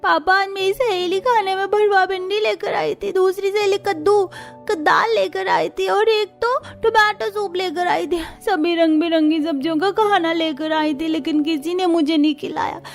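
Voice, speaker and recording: A woman's voice: very high voice, normal speed, vocal fry, faint music behind. A woman voicing an upset nine-year-old schoolgirl in a cartoon story, complaining and pleading. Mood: hurt, sulky. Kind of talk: Hindi cartoon story voice acting.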